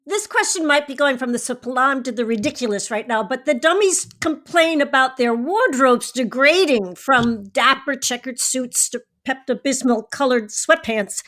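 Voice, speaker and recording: High-pitched woman hosting a panel. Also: fairly bright tone. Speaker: interview host. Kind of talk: prepared questions to a panel.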